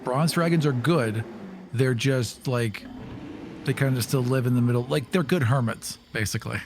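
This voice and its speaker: A man's voice: medium pitch, normal speed, a little hiss or hum. A man playing in a tabletop game, explaining and describing his character casually. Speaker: player. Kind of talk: tabletop game narration and chat.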